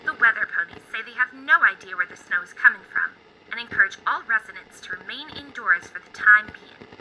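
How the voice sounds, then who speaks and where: A woman playing a brisk, chipper pony news announcer reading a radio bulletin in an audio drama. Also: medium pitch, lively intonation, pushed and bright, a little hiss or hum, poor audio. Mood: brisk, official.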